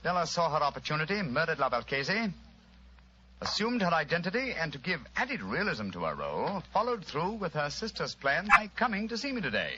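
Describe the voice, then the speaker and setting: Posh-mannered english detective, precise and commanding, swoopy delivery, old muffled noisy radio recording. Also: male voice, on the high side, very animated, fairly quick. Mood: explaining. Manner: urbane, precise, authoritative. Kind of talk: old-time radio drama acting.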